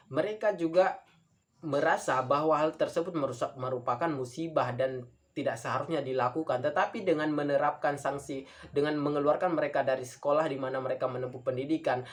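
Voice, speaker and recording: A man gives a formal debate speech as a student speaker, earnest and halting, often repeating phrases for emphasis. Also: fairly high pitch.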